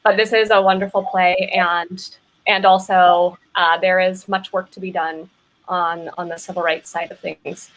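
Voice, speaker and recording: A woman actor making earnest personal remarks on an online call, speaking carefully and a little haltingly. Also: fairly low pitch, little pitch movement, fairly bright tone, rough recording. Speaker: actor in an online play reading.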